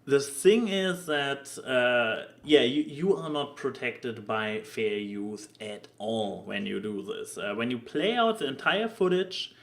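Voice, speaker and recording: A man hosting a casual podcast discussion, talking haltingly and often stammering or repeating words as he goes. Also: medium pitch, expressive, fairly bright tone. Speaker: podcast host.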